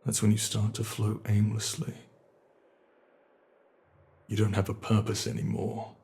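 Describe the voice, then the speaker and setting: A man voicing an ancient, immortal monster under the bed, speaking in a slow, brooding, gravelly ASMR horror roleplay monologue. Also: fairly low pitch, completely flat delivery, warm tone.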